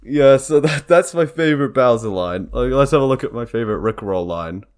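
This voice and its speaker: A man's voice: medium pitch, lively intonation, a little fast, faint music behind. Young guy just rambling casually to his viewers, kinda giggly, normal mid voice. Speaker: video creator and fan dub member. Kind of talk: casual behind-the-scenes vlog commentary.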